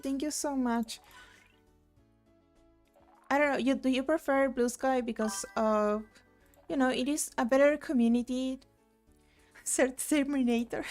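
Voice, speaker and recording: A woman's voice: a little high, a little mellow. Chatty young woman from Argentina streaming. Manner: chatty, reads chat aloud, rambling with fillers. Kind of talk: casual live-stream chat while gaming. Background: game music.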